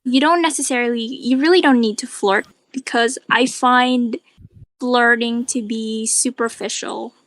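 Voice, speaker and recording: A woman giving measured, thoughtful advice in a casual online chat. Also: fairly high pitch, fairly even intonation, a bit bright, no room sound.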